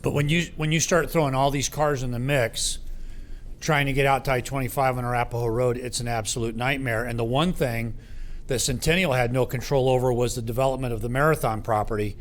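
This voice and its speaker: A man's voice: mid-range voice, fairly even intonation, fairly quick, a little hiss or hum. A man with a mid-range voice objects at a community meeting. He sounds like a skeptical resident airing a complaint. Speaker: local resident.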